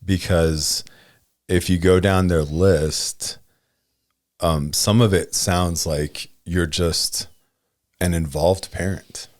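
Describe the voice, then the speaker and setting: A man's voice: sits low, steady tone, takes it slowly, no echo, studio quality. A man in his forties co-hosting a casual podcast, thinking aloud and often checking in with "right?" and "you know". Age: 40s. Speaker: podcast co-host.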